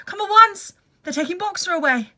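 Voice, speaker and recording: A woman voicing an old, normally cynical male donkey, shouting in alarm in a storybook reading. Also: extremely high pitch, expressive, a little fast, pitched above the performer's own voice, exaggerated voice. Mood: frantic alarm.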